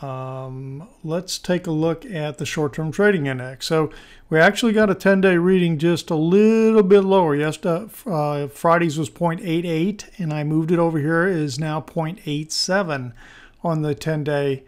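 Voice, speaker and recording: A man with a hoarse voice giving informal stock market commentary as host, thinking aloud in a halting, conversational way. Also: fairly high pitch. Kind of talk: informal technical analysis commentary.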